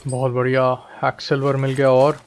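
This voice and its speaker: Very fast-talking Indian man, gameplay commentary. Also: medium pitch, completely flat delivery. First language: Hindi. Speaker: gaming video host. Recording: clearly noisy track with clicks and game sound.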